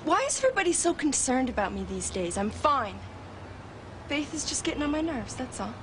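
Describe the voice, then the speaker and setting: A woman's voice: high-pitched, very animated, a little fast, background noise. A young actress playing an irritable, defiant teenage girl in a naturalistic drama. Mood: irritated. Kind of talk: naturalistic teen drama acting.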